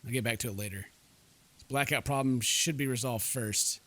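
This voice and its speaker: A man's voice: medium pitch, moderate pace, a bit bright. A man hosting a let's play, reading game text aloud in a playful mock-dramatic way with jokey asides. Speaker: gaming video host.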